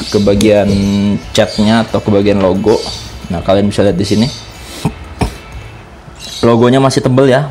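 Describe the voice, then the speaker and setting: A man's voice: fairly low pitch, dark tone. A man with a Jakarta Indonesian accent giving a casual product review, explaining things informally step by step as a vlogger.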